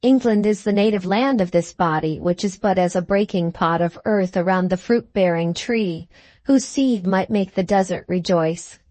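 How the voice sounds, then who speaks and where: A fervent visionary scholar, read by a woman speaks in lofty, solemn oratory for a literary audiobook. The voice has a medium female pitch and is recorded dry, with no room echo. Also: a little mellow, lightly acted. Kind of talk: literary audiobook character reading.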